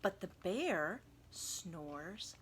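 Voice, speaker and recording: A woman reading a picture book aloud to children, expressively and dramatically, dropping into hushed tones and chatty asides. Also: lowish voice, sing-song, extremely slow, slight background noise. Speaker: volunteer children's story reader.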